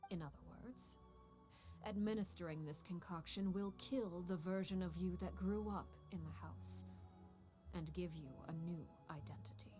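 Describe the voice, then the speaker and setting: A woman voicing a cold, commanding head of a household called Father, speaking in a calm, measured way with hushed, grave whispers in a game cutscene. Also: fairly low pitch, natural voice. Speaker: stern head of an orphan household. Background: game music score.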